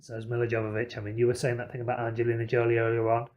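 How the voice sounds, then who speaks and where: A man's voice: mid-range voice, monotone, racing, darkish tone. Discursive man hosting a film podcast. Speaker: podcast host.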